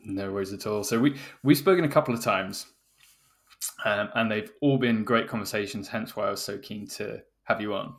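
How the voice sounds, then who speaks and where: A man's voice: on the low side, normal speed. A man hosting a podcast, introducing and interviewing in a conversational style. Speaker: podcast host.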